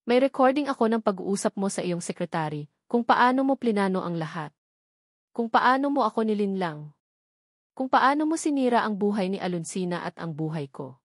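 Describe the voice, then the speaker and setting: A woman's voice: medium pitch, lively intonation, normal speed, no echo, lightly acted. Bitter grown son in a story drama, read by a woman, Filipino accent, firm, accusing, dry sound. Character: bitter, determined adult son confronting his tycoon father.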